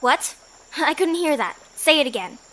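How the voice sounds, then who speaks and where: Woman acting a haughty, high-voiced bandit woman. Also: very animated, normal speed, a bit bright, low-quality recording. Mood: agitated. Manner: taunting, theatrical. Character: haughty, dangerous female bandit and kung fu fighter. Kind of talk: audio drama acting.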